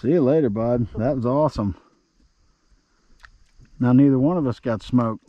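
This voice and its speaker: A man chatting casually and excitably with a friend while fishing, playful, salty-tongued and apt to talk to the fish. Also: medium pitch, moderate pace. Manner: salty, swearing. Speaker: angler filming his own fishing outing. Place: outdoors on a boat on a lake. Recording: muffled lo-fi camera audio with outdoor noise.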